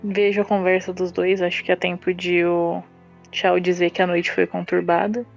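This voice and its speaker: A woman's voice: on the low side, little pitch movement, normal speed, gravelly. A woman, a roleplay player, narrating what her character does. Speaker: tabletop RPG player. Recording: muffled lo-fi audio under music. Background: background music.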